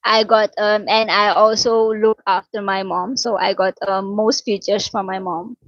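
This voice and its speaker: A woman in her twenties from Suriname, whose first language is Dutch, chatting casually with viewers, unhurried and with many fillers and restarts. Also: mid-range voice, steady tone, no room sound, dull audio. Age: 20s. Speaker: livestream host answering viewer questions. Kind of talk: casual chat.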